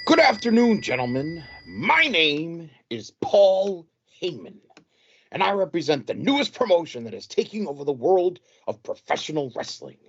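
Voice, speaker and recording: A man playing a slick, grandiose wrestling promoter with a husky voice in a comedic audio play. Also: on the high side, big pitch swings, moderate pace, lo-fi sound, full character voice.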